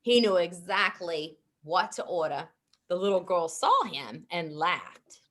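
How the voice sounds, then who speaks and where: A woman reading a children's bedtime story aloud in an expressive way. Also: lowish voice, unhurried. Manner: expressive, animated delivery.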